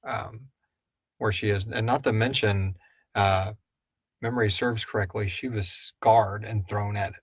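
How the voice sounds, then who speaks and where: Guy with a lowish voice doing a casual live q&a, kinda rambly, no room echo. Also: steady tone, measured pace, slightly rough. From Houston, Texas area. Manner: rambling, loosely phrased, searching for words. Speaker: co-host and audio drama creator answering listener questions.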